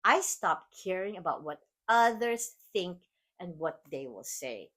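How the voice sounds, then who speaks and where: A middle-aged Filipino woman hosts her own podcast in a slightly low voice. She speaks earnestly and with emphasis, often saying "like" and restarting sentences as she shares her thoughts. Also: very animated, unhurried, bright, forceful tone. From Philippines. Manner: earnest and emphatic, with frequent "like" and self-corrections. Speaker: podcast host. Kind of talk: personal motivational talk.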